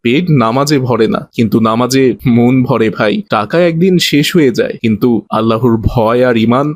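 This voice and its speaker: Male actor as pious young son-in-law, cartoon, humble, patient, gently preaching, medium pitch, dry close sound. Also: normal speed, no room sound, natural voice. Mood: polite. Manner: polite, earnest, gently preaching. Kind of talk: Bengali Islamic cartoon voice acting.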